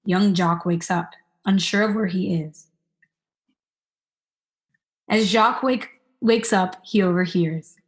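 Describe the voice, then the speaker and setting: A woman's voice: on the low side, takes it slowly, long pauses. A young woman reading stage directions as scripted narration for a radio play. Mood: descriptive. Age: young adult.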